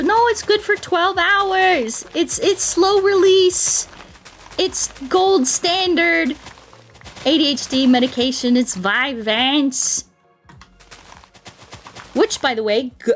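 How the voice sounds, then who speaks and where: A woman livestreaming a game, chatting casually and playfully with her viewers in a rambling way. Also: a little high. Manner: playful, rambling, talks to chat. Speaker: game streamer. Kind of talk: casual livestream chat while playing a game. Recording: music behind the voice. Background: music.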